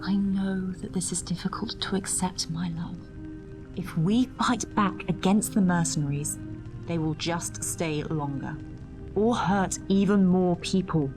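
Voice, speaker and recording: An actress playing a gentle, cautious mother of a desert tribe in an audio drama, soothing and hushed. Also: on the low side, a little slow, lightly acted. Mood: tender, resigned. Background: dramatic music.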